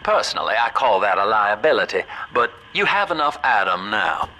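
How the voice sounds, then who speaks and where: Bright, folksy Southern American hustler. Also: male voice, lowish voice, very animated, moderate pace, faint music behind, exaggerated voice, poor audio. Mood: wry, pleased. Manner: folksy salesman patter, avuncular. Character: folksy, scheming male businessman, smooth opportunist guide. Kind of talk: video game voice acting, radio guidance.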